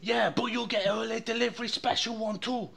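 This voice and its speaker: A man's voice: extremely high pitch, steady tone, a little fast, vocal fry, a bit bright, voice pushed up, heavily acted. A man voicing a pushy male engine supplier rep in a mock Japanese accent, in broken English, in a comedy podcast skit. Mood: boastful. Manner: broken English, hard-selling, swears.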